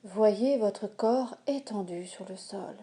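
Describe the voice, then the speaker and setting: Very slow, soft-toned woman guiding relaxation. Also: mid-range voice. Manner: calm, measured, soothing delivery. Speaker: yoga nidra instructor. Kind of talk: guided relaxation meditation, slow instructions with pauses.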